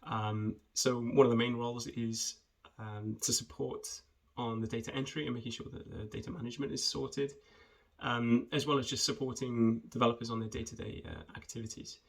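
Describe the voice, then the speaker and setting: A man, talking in a friendly, conversational way with hesitant pauses as he introduces himself and his work for students. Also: medium pitch, little pitch movement. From rural southwest Wales. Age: 20s. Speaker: junior footwear developer. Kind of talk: informal self-introduction video for students.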